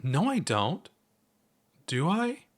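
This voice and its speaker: A man's voice: fairly high pitch, huge pitch swings, slow pace, voice pushed up, exaggerated voice. A man voicing an anxious, self-conscious young woman in a story, hesitant and given to muttering.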